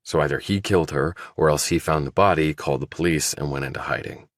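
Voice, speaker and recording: A man as a deep-voiced, guarded ex-soldier. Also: fast, dry recording, clean studio sound. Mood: defensive. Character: guarded, probing male ex-soldier turned investigator. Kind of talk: audiobook character dialogue.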